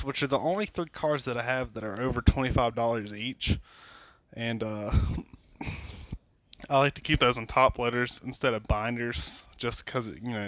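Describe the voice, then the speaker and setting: A man rambles through a casual show-and-tell, tipsy and sniffly with a cold, full of fillers and restarts. His voice sits at an ordinary middle pitch. It is recorded close on a phone in a dry, dead-sounding room. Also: fairly bright tone, dry recording. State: tipsy from drinking. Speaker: card collector vlogger. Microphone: phone camera.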